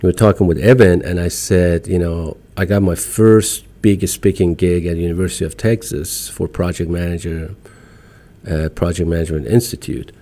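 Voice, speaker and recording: Deep, warm-voiced Iranian man. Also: steady tone, moderate pace. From Iran. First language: Farsi. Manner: emphatic, motivational, repeats short phrases. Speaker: podcast guest. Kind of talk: casual interview talk.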